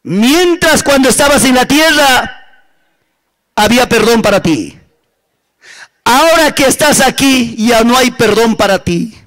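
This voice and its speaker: A man's voice: very high voice, lively intonation, measured pace, long pauses, fairly bright tone, exaggerated voice. A man with an Andean Spanish accent voicing a stern, reproachful God in a sermon story, solemn and accusing, with repeated phrases. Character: stern, reproachful male God judging a servant.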